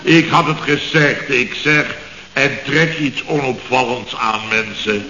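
A man's voice: medium pitch, takes it slowly, bright, forceful tone, reverberant, a little hiss or hum. An actor playing a blustering, boastful firm boss who tells his mishaps with exasperation, in a 1960s radio comedy. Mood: exasperated. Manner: exasperated comic storytelling, keeps exclaiming. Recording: lo-fi old radio recording.

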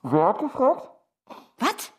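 Husky, fed-up ex-wife. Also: female voice, sits high, huge pitch swings, slow, exaggerated voice. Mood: exasperated. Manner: accusing, blunt, interrogating. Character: angry, fed-up, sharp-tongued ex-wife and mother. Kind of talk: naturalistic German radio drama acting.

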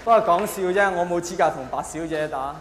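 A man's voice: high-pitched, brisk, some chatter behind. A man with a Hong Kong Cantonese accent performing a theatrical, self-mocking stand-up monologue. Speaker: stand-up comedian. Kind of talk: stand-up comedy monologue to a live audience. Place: large live comedy venue. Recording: echoey hall, faint noise.

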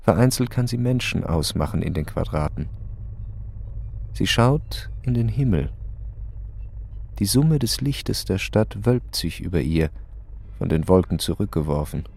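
A man's voice: low-pitched, expressive, unhurried, gravelly, pauses often, darkish tone. A man narrating a radio play in terse, matter-of-fact fragments.

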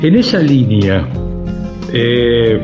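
A man interviewing in a formal, thoughtful way, with wordy and measured phrasing. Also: mid-range voice, sing-song, lo-fi sound, loud music behind the voice. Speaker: interviewer, radio host.

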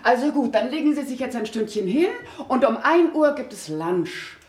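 An actress playing an eager, hospitable guesthouse hostess in a German radio drama, effusive and chatty. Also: female voice, fairly high pitch, lively intonation, normal speed, a little mellow, natural voice. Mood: solicitous. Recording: echoey room.

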